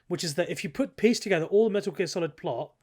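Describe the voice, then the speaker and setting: Fast-talking, higher-pitched analytical man. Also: darkish tone. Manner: analytical, explains and qualifies his points. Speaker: podcast host. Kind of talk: casual gaming podcast discussion.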